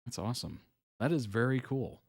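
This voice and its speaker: A man hosting a reaction video, giving relaxed, chuckling commentary in short approving remarks. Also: medium pitch, normal speed, husky, no room sound, clean studio sound.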